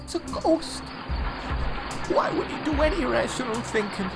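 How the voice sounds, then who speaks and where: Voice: high voice, expressive, a little slow, gravelly, loud music behind the voice, exaggerated voice. An actor playing a nervous, panicky small male tank engine in an amateur audio drama, with a hesitant, stammering way of talking. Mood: anguished.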